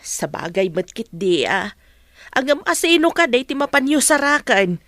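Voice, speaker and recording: A woman playing a caring, cautious mother who gently advises her grown daughter in a radio drama. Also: sits high, sing-song, moderate pace, dry recording, clean studio sound. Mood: worried.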